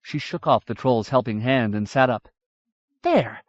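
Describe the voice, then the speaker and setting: A man reading a fantasy novel aloud in a measured, even storytelling manner. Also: mid-range voice, no room sound. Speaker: audiobook narrator. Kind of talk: scripted fantasy narration. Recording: dull dry audiobook recording.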